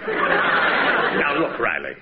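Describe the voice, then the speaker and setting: An actor playing a pushy, gloating landlord who wheedles then demands rent, on a noisy, muffled old radio broadcast. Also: male voice, mid-range voice, big pitch swings, drawn-out words, over a noisy crowd.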